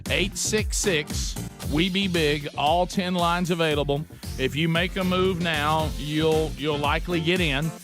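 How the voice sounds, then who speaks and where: High-voiced male radio host over music. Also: fairly even intonation, measured pace. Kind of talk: radio talk and ad reading. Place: radio studio.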